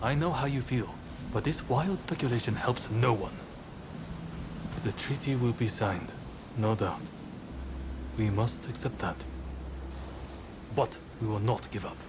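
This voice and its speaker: A man playing an earnest, level-headed samurai rebel in a video game cutscene, speaking in a measured, sober way. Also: mid-range voice, noisy background, natural voice. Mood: firm, resolute.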